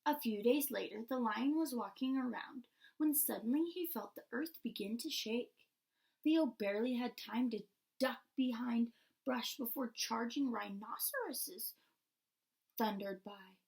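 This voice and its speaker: A woman tells a children's story aloud with expression. Her voice is fairly high with noticeable creak, and she takes her time. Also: measured pace, darkish tone.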